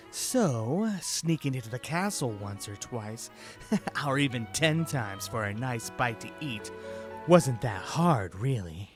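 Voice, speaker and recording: A man's voice: mid-range voice, pitched above the performer's own voice. A man voicing a playful, devoted young knight in a fan audio drama. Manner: soft, gently teasing.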